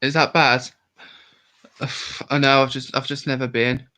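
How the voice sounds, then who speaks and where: A man's voice: medium pitch, little pitch movement, normal speed, sharp tone. A young man from Worcestershire with a West Midlands accent, drunk and rambling as he chats with viewers on a livestream. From Worcestershire, England. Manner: rambling, chatty, answers viewer comments aloud. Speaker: livestream host. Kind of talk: casual livestream chat with viewers.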